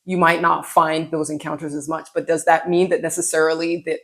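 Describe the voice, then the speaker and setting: A woman co-hosting a podcast, talking casually in an expressive, wry way and voicing imagined reactions. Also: deep voice, fairly quick, vocal fry. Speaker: podcast co-host. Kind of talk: casual conversational podcast chat.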